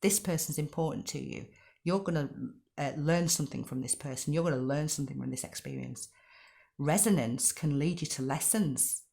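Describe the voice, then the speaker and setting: A middle-aged woman with a slightly croaky voice gives a spoken tarot reading, talking gently, repeating herself and asking rhetorical questions. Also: low voice, normal speed. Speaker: tarot card reader.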